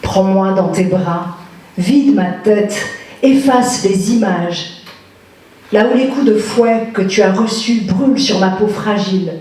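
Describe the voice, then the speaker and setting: Slow, mellow woman presenter in an echoey hall. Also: mid-range voice, recorded from a distance. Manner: slow, measured delivery. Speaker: event presenter. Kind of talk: poetry reading and interview question. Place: municipal lecture hall.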